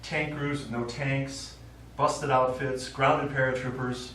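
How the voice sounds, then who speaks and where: An actor playing a stubborn old American war veteran and father, telling his memories haltingly in a stage play. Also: medium pitch, level tone, fairly bright tone. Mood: grim recollection. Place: small theater stage, recorded from the audience. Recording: distant, very echoey theater recording, faint noise.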